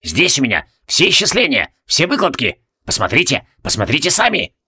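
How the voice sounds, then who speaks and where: A man voicing a frantic, cranky old mad alchemist in a fantasy audiobook, husky and barking, repeating himself excitedly. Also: on the high side, normal speed, pitched above the performer's own voice, exaggerated voice. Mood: triumphant.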